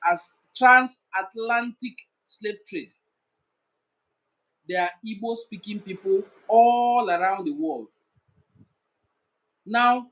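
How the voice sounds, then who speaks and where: A man's voice: high-pitched, fairly bright tone, rough recording. A man with a Nigerian accent giving an educational talk to camera, listing points in a repetitive, emphatic way. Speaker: cultural commentator.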